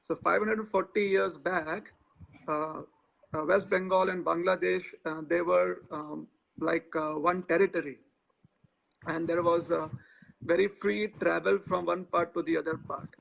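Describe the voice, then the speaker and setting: Hesitant gravelly man in his forties. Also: on the high side, steady tone, measured pace, a bit bright, rough recording. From India. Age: 40s. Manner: hesitant, many filler sounds. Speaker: interview guest. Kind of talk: live online interview.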